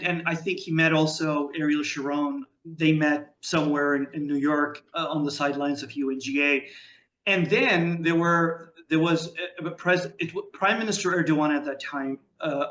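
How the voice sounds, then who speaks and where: A man's voice: a little high, fairly even intonation, normal speed, fairly bright tone, echoey room. A man speaking as a panel guest in an online discussion, with stammering starts and long, winding sentences.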